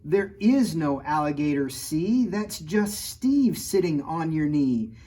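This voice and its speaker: A man's voice: fairly high pitch, big pitch swings, slow pace, dark tone, full character voice. A man reading the rhyming picture-book part of an innocent, self-justifying three-year-old girl in a sing-song cadence. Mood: explaining, dismayed.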